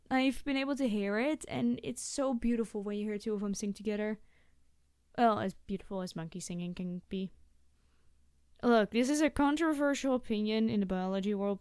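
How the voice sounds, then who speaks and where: Woman acting a high-voiced, awkward, chatty young biologist. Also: darkish tone, clear, resonant tone, no echo, clean studio sound. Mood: chatty, enthusiastic. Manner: gushing, rambling, hesitant and flustered. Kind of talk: roleplay audio addressed to the listener.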